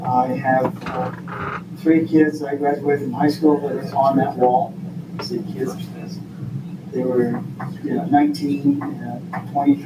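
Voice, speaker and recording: An older man from Vermont speaking informally at a public meeting, in a halting, folksy, rambling way. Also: medium pitch, animated. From Vermont, United States. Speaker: school board member leading the meeting. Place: indoor meeting room. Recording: echoey room, distant mic, noisy background.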